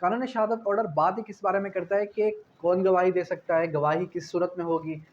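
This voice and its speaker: A man's voice: high-pitched, steady tone, fairly quick. A man with a Pakistani accent giving an explanatory law lecture, repeating key phrases and answering his own questions in a didactic way. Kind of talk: explanatory exam-prep lecture, switching between reading legal clauses and explaining. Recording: dull lo-fi audio with faint noise.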